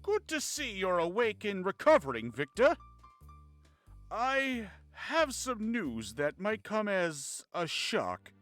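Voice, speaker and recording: A polite, learned advisor explaining ancient lore, played by a man. His formal speech swings widely in pitch, with light music behind it in a fantasy visual novel. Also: huge pitch swings, takes it slowly, a bit bright, full character voice. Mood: solemn. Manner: formal, expository. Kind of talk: amateur visual novel voice acting.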